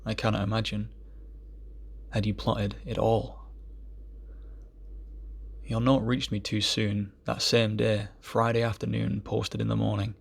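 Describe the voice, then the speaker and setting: A man recites poetry solemnly in a low voice full of heavy creak. His phrasing is measured and deliberate, and the tone is husky, as an amateur reader would give it. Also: level tone.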